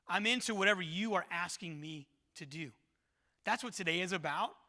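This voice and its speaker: A middle-aged man preaching a sermon as pastor, energetic and earnest, repeating his key phrases. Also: high-pitched.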